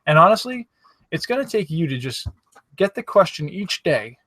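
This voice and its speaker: An upbeat male host coaching his viewers, his voice sitting a little high for a man. He keeps talking straight to the listener with lots of "you know" asides. The recording is dry, with no room sound. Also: sing-song, normal speed, pushed and bright, dry recording. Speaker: video coach and live show host. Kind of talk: casual motivational coaching talk. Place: campsite.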